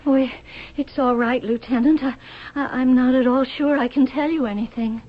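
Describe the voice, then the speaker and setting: Flustered showgirl wife, old radio drama, high voice, halting, muffled lofi. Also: steady tone. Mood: shaken, halting. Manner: halting, breathless repetition. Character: flustered, put-upon showgirl wife turned murderess. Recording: dull lo-fi radio broadcast recording.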